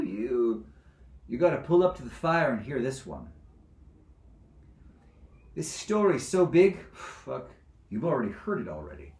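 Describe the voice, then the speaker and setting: A man playing a menacing, foul-mouthed zealot who sits as divine judge, in a theatrical monologue with a profane, taunting, domineering manner. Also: medium pitch. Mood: agitated. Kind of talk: theatrical monologue, swinging from whispers to screams. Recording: echoey room with clear background noise.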